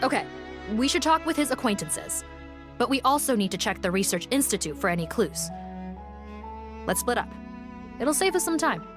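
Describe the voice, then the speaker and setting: A woman voicing a brisk, businesslike female police officer who speaks formally. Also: fairly high pitch, very animated, music in the background. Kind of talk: video game voice acting.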